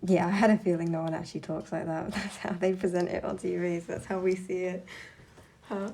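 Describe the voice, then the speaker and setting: A woman's voice: fairly low pitch, flat delivery, brisk, gravelly, mellow, slight background noise. A young woman streaming while she draws, chatting casually in a blunt, sweary, ranting way. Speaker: streamer and artist.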